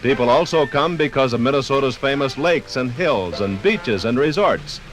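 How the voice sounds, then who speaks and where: A man's voice: mid-range voice, lively intonation, normal speed. An American man narrating a scripted 1950s radio documentary program. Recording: 1958 radio program from LP record. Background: faint music.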